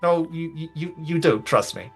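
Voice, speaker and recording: A man's voice: fairly high pitch, animated, moderate pace, fairly bright tone, slightly rough, soft background music. A man roleplaying a ship captain in a tabletop game, talking in a rambling, hesitant way. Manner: rambling, hesitant, full of "I mean".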